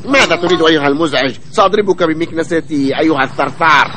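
A man acts out a grumpy male cartoon creature, slightly high for a man. He scolds and threatens with bluster, with clear noise behind the voice. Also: quick pace, natural voice. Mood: angry, threatening. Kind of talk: Arabic children's cartoon dubbing.

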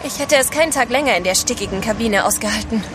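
A woman's voice: fairly high pitch, fast talker, noisy background, natural voice. An actress playing a spirited, devoted female adventurer in an audio drama.

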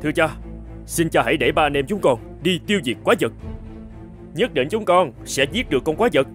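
A man plays a bold young fairy-tale cartoon prince, rather high in pitch and animated. He declaims earnestly over faint music. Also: fairly quick. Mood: confident. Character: brave, earnest young prince, one of three brothers.

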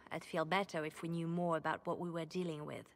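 Composed spy chief, serious and lowish, fantasy game cutscene. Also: female voice, steady tone, normal speed. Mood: calculating.

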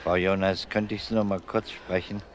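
An actor playing an easygoing, polite but probing police detective in a German crime radio drama, casual and understated. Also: male voice, low-pitched, level tone, normal speed, slight background noise, lightly acted.

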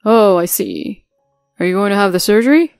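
A selfish young husband snaps out cold, demanding lines, as a woman reads him aloud. He sounds a touch louder and harder than the narrating voice, in a dry close recording with faint music underneath. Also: mid-range voice, very animated, normal speed, vocal fry, clear, resonant tone, no echo. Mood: dismissive. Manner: dismissive and demanding.

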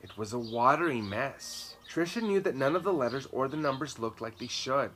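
A man in his thirties reading a children's story aloud expressively, with slow, emphatic words. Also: mid-range voice, expressive, a bit bright. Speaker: children's storytime reader and host. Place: outdoor garden patio. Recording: clear background noise, outdoor birdsong. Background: birds, outdoor ambience.

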